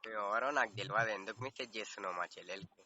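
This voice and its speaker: A man's voice: on the low side, racing, a crowd in the background. Young male prank caller, slangy and confrontational, dull recording with voices behind. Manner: brusque, threatening, slangy. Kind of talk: confrontational prank phone call.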